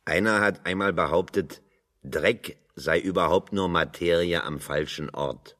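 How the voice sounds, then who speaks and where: A man's voice: sits low, a little slow, a bit bright. An actor playing a sardonic, dry-witted working man in German radio drama dialogue, speaking colloquially and ironically. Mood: dry, anecdotal.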